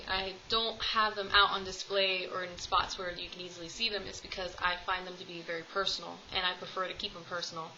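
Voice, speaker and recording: A woman's voice: on the low side, bright, forceful tone, lo-fi sound, a little hiss or hum. A woman in her twenties chatting casually to camera at home, rambling with lots of ums. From United States. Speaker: vlogger. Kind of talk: casual tag video chat. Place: home room, at home with cats.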